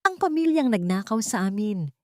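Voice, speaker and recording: A woman's voice: medium pitch, big pitch swings, moderate pace, warm tone, no room sound, clean studio sound, pitched above the performer's own voice, lightly acted. Woman playing a bitter, defiant young wife. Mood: outraged. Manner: bitter, intense.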